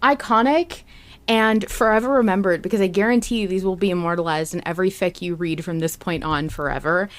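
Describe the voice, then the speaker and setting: A woman's voice: fairly low pitch, brisk. A woman hosting a casual fan podcast, talking in a chatty, enthusiastic way. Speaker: podcast host.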